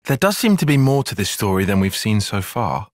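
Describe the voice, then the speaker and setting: An actor playing an earnest, bookish young soldier-tactician in a video game, speaking in measured, formal, analytical phrases. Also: male voice, fairly low pitch, sing-song, no echo, clean studio sound. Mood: calm, thoughtful. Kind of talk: video game cutscene voice acting.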